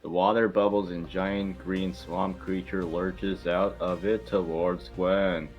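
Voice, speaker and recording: A man's voice: sits low, little pitch movement, slow, highs cut off, soft background music. A man hosting and narrating an amateur table read, informal and sometimes stumbling over the script. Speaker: host and narrator.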